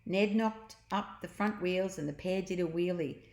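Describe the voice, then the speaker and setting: A woman's voice: on the low side, moderate pace, darkish tone. A woman reading a children's storybook aloud, adding explanatory asides for young listeners. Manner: explains pictures and words to children. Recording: roomy, echoing sound.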